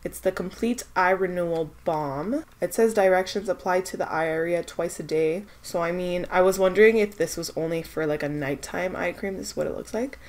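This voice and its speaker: A woman's voice: fairly low pitch, fairly quick, hardly any pauses, a little mellow. A young woman vlogging about skincare samples, stuffed up from a cold, chatty and hesitant with lots of fillers as she thinks aloud. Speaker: beauty vlogger. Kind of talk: casual product-testing vlog.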